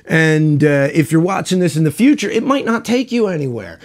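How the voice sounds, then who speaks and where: A man's voice: on the high side, big pitch swings, normal speed. A man in his forties, stuffed up and congested, chatting casually and rambling about guitar strings in his home music room on a lapel mic. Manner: rambling, folksy, hesitant restarts. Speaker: guitar reviewer. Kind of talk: casual product review chat.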